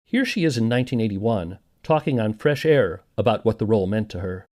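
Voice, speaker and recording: Lively male documentary narrator. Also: fairly low pitch, brisk. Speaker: podcast narrator and interviewer.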